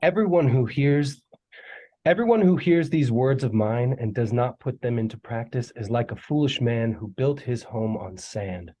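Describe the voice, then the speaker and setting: A man acting a creepy mysterious stranger who preaches doom solemnly in a husky voice, reading a horror script. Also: medium pitch, expressive, dark tone, close to an everyday voice, poor audio. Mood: solemn, menacing. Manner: slow, solemn, scripture-quoting delivery. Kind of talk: table read of a horror script.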